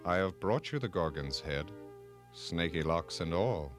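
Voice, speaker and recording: Man playing grave, very deep-voiced young hero. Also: animated, a little slow, over music. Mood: dutiful, proud. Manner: formal, grave. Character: young hero Perseus, respectful and resolute. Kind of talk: storybook character reading.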